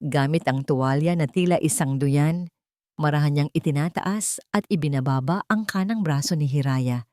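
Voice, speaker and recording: A woman narrating a scripted story in a measured, dramatic storytelling manner. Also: deep voice, darkish tone, dry recording, clean studio sound.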